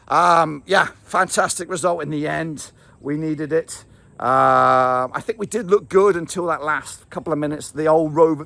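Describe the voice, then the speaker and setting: A man with a gravelly, slightly raised voice rambles excitedly through a football match reaction, full of fillers and restarts. His voice is wearing thin. The sound is close and dry, with no room around it. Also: on the high side, no room sound. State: losing his voice. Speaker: football fan vlogger. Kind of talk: casual match reaction to camera.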